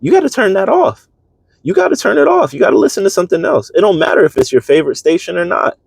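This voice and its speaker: A man reading and reflecting on spiritual ideas in an earnest, halting manner, often posing rhetorical questions. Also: high-pitched, very animated, creaky, husky, no echo. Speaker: reader and commentator.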